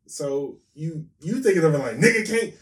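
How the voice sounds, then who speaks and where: A man's voice: fairly high pitch, expressive, moderate pace. An American man co-hosting a podcast, bantering and telling stories in a blunt, slangy, profane and joking way. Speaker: podcast co-host. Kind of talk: casual storytelling banter. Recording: roomy echo, distant from mic.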